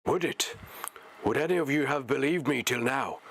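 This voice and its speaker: A man voicing a guarded, weary male ranger in a storybook, explaining himself briskly. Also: mid-range voice, gravelly, fairly bright tone. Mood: guarded, frank.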